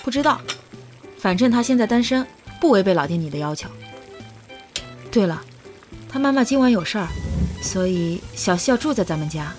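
A woman's voice: mid-range voice, lively intonation, normal speed, long pauses, soft background music, close to an everyday voice. A woman playing a playful, cheeky young adult who is devoted and tender, in a modern Chinese audio drama. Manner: playful, coaxing.

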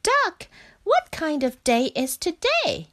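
A fretful little frog boy from a storybook, put on by a woman reading aloud, with a voice pushed well above her own. He talks high and pleading and keeps complaining. His pitch swings widely and he talks slowly. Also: huge pitch swings, fairly bright tone, heavily acted. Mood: eager. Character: small anxious male frog, easily upset.

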